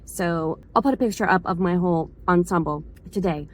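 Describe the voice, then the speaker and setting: A woman with a hoarse voice from a cold, chatting in a casual, rambling vlog as she circles back to restate things. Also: lowish voice, brisk. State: hoarse from a cold, slight sore throat. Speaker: vlogger, school staff member.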